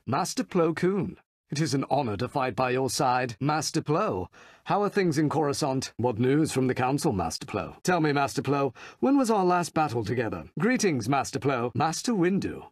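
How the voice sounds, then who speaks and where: A man's voice: medium pitch, husky, dry recording, clean studio sound. A man playing a noble, courteous middle-aged Jedi master with polished, dryly wry manners, delivering short lines for a video game.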